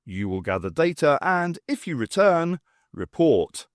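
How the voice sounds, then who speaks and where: A man's voice: on the high side, very animated, a little slow, dry recording, clean studio sound, pitched above the performer's own voice. Man voicing a commanding, coldly clinical alien master. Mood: cold menace. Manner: commanding, precise, literal-minded.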